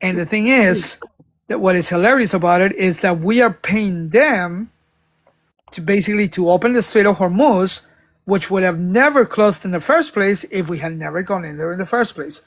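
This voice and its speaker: A man's voice: sits high, moderate pace, fairly bright tone. A man making a political argument on a live panel, talking in a rambling way with many stumbles and restarts, now and then emphatic. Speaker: panel speaker. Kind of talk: casual political discussion.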